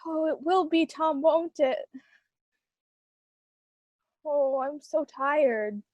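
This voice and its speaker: A woman's voice: sits high, extremely slow, a little mellow, no room sound. A woman playing a fragile, lovelorn young village woman in a 1940s-style radio melodrama. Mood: tearful relief.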